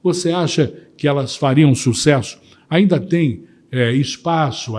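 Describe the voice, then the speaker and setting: A Brazilian man presenting to viewers, deliberate and emphatic, posing rhetorical questions and giving his views. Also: mid-range voice, a little mellow. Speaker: presenter and voice-over teacher. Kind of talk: talking to viewers, opinion commentary.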